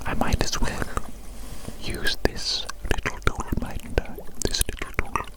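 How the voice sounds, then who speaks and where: Man playing a whispering mad scientist. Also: close to an everyday voice. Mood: sly, curious. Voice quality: breathy unvoiced whisper. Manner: theatrical, muttering, repeats phrases deliberately. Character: eccentric male mad scientist, obsessive, gleefully reckless. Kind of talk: ASMR roleplay, whispered. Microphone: close microphone.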